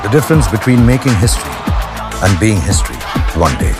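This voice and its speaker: A man reads a dramatic sports trailer in a slow, weighty way. His voice sits very low, and loud music swells behind him. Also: very animated. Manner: slow, solemn, weighty delivery. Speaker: promo narrator. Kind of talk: dramatic scripted trailer narration.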